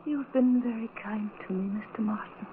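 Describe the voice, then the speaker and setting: Actress as a high-voiced, vulnerable young woman. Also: normal speed, background noise, natural voice. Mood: tender, grateful. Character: gentle, vulnerable young woman newly blinded. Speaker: radio drama actress. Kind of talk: old radio drama acting. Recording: tinny old radio, loud music behind.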